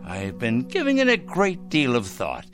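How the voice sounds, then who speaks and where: An actor in a radio drama playing a fussy, self-righteous fanatic obsessed with evil, excitable and given to repeating himself. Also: male voice, medium pitch, moderate pace, faint music behind. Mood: smug, earnest. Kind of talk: theatrical radio drama acting.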